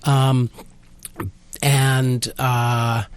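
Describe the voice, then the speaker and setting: A man giving a casual radio interview as a guest, explaining things in a halting way. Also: medium pitch, flat delivery, smooth, no echo. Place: college radio station.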